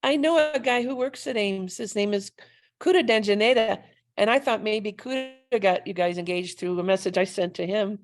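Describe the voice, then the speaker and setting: A woman's voice: medium pitch, normal speed. A woman chatting enthusiastically on a video call, effusive and welcoming. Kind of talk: casual video call chat.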